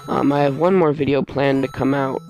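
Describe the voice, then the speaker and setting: Male gaming host, gravelly fry, mid-pitched, rambling casual voice-over, game music behind. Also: steady tone, a little mellow, rough recording. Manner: rambling, halting, often losing his train of thought. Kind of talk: casual let's play voice-over commentary.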